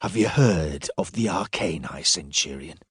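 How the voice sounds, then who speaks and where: A man voicing a weary, steely male Roman agent in an audiobook, speaking in a measured, dry, firm way. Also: medium pitch, lively intonation, dry recording, natural voice. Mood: quietly threatening.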